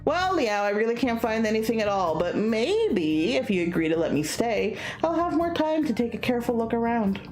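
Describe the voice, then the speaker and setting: Woman playing a teasing, bratty senior guy, pitched up. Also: sing-song, moderate pace, hardly any pauses, music in the background. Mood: playfully coaxing. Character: teasing, bratty older male student, laid-back.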